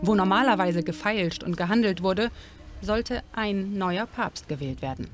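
A woman narrating a documentary and interviewing, measured and clear. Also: medium pitch. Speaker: documentary presenter. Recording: music bed under voice.

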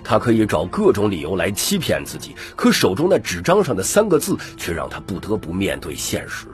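Man, deep register, dramatic story narration, lively pitch movement, faint background music. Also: fairly quick. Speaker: audiobook narrator.

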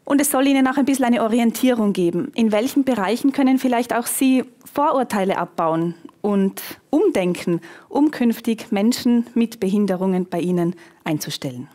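A woman in her thirties with a Tyrolean Austrian accent, hosting an event on stage in a lively, chatty, self-joking way. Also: a little high, moderate pace. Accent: Tyrolean Austrian German. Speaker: event host, TV presenter. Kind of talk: event moderation. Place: conference hall on a stage.

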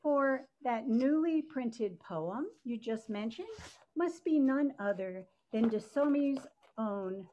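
Older woman narrator, mellow voice, unhurried, scripted scholarly commentary, theatrical lecturing. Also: a little high, a little hiss or hum, low-quality recording. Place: living room at home, indoors. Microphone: video call microphone.

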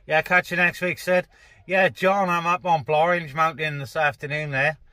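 A man's voice: fairly high pitch, little pitch movement, moderate pace, pushed and bright, no room sound, studio quality. A man chatting casually as a CB radio operator, speaking colloquially and repeating phrases, inside a parked car.

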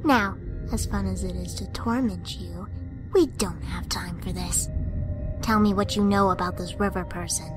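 A woman's voice: medium pitch, moderate pace, close to an everyday voice. A woman voicing a sadistic demonic child with mocking, sing-song taunts in a comic dub. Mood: mocking menace. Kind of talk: fan comic dub voice acting. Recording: loud music underneath.

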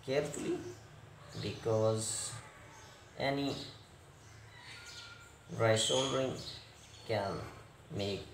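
A man giving step-by-step repair instructions in halting, fragmented English with non-native phrasing. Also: lowish voice. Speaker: repair technician, tutorial presenter. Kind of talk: step-by-step hands-on repair tutorial. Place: indoor repair workbench. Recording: roomy echo, clear background noise.

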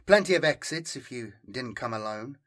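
A man's voice: mid-range voice, lively intonation, moderate pace, a bit bright. Sly male bureaucrat, played by a narrator, amused, casual, teasing, unabashed, audiobook drama. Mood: wary, casual.

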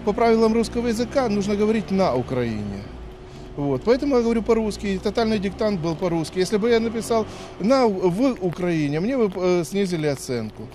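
A man's voice: high voice, expressive, fairly quick, warm tone. A man in his fifties answering a reporter on the street, lecturing and argumentative, with frequent hesitations. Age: 50s. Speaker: dictation participant. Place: outdoors on a city street. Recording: faint street noise.

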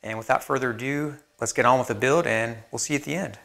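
A man's voice: medium pitch, fast talker, creaky, faint music behind. A man in his thirties, host of a woodworking channel, explaining a build casually with plenty of fillers, recorded on a lapel mic in his workshop. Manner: relaxed, plain-spoken, many fillers. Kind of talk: casual how-to narration. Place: woodworking shop indoors.

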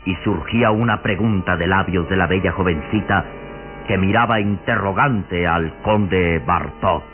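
A man's voice: sits low, measured pace. A man narrating a gothic radio serial in an ornate, solemn, suspenseful vintage style. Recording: phone-like vintage radio recording, music behind.

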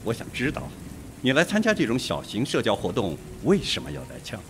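An actor in a radio drama playing a suspicious, blunt police detective who probes and is easily rattled. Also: male voice, mid-range voice, wildly swooping pitch, a little fast, slight background noise.

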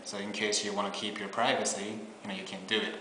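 A man's voice: on the low side, flat delivery, quick pace, pushed and bright, a little hiss or hum. A man in his twenties giving a casual, laid-back product demo in an informal way. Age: 20s. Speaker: product presenter. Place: small bare meeting room indoors. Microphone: camera on table, about arm's length or farther. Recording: echoey room, faint music.

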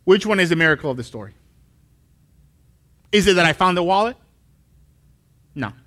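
A man's voice: high voice, lively intonation, moderate pace, bright, forceful tone. A man in his thirties from Colombia, a pastor preaching a sermon on a church stage, telling a story animatedly with acted-out voices. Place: church stage with wood wall.

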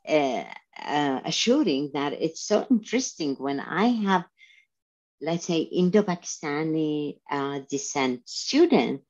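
A woman's voice: deep voice, a little mellow, highs cut off. A middle-aged woman from Syria, an Arabic teacher, speaking earnestly with restarts on a video call through a headset. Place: home room indoors.

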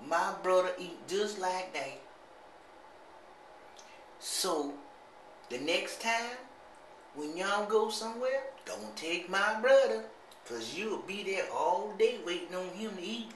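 A rambling, gossipy country sibling, put on by a middle-aged man, drawls slowly. The voice is bright and a little pinched. It echoes around a small tiled bathroom, caught on a handheld camera, with a faint hiss underneath. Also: high-pitched, expressive, full character voice. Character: slow, simple-minded gossipy country sibling. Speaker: comedy vlogger. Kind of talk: comic monologue to camera. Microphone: handheld camera at arm's length.

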